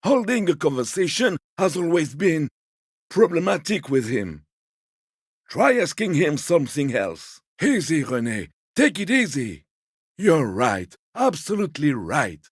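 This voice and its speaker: An actor playing a fussy, timid old gourmand gentleman in a video game, a husky voice and a polite, hesitant, slightly pompous manner. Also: on the high side, very animated, unhurried, no echo, studio quality, exaggerated voice. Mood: soothing, apologetic. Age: older. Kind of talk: video game character dialogue.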